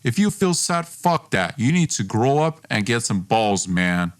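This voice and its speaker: A man's voice: mid-range voice, sing-song, a little slow. A man voicing a domineering, self-important young man who belittles his friend in acted dialogue for a storytelling podcast. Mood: scornful, harsh. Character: domineering, boastful, scornful young man, a former best friend.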